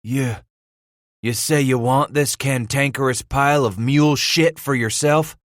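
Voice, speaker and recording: A man's voice: mid-range voice, fairly even intonation, unhurried, no echo, studio quality, voice pushed up, close to an everyday voice. A man voicing a big, hot-tempered frontier trapper in an audiobook, growling in rustic dialect. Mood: sly, gruff bargaining.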